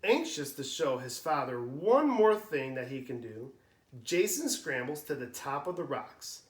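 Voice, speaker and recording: A young man, a preschool teacher, reading a storybook aloud to young children in an animated, sing-song way. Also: mid-range voice, a little slow, roomy sound.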